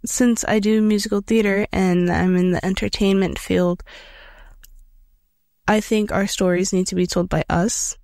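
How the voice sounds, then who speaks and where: A woman's voice: mid-range voice, steady tone, a little slow, darkish tone, no echo, clean studio sound. A young woman from Queens, a theater student, answering an interview in an upbeat, chatty way. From Queens, New York. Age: young adult.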